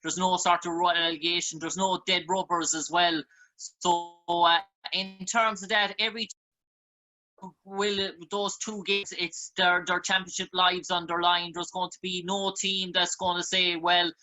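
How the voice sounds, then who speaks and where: A man's voice: high-pitched, little pitch movement, a little slow, bright, forceful tone, rough recording. An Irish man hosting a sports panel discussion, putting long, rambling questions to his guests. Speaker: sports show host.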